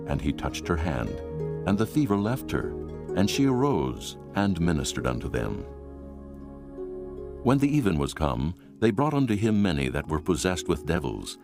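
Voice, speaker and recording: A man narrating a dramatized Bible in a solemn, dramatic storytelling manner. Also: very deep voice.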